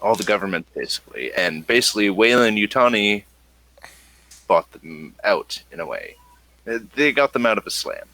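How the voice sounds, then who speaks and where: Deep, bright-voiced man running a game. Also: lively intonation, moderate pace, dry recording, poor audio. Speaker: game master. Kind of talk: improvised narration and lore exposition for a tabletop game.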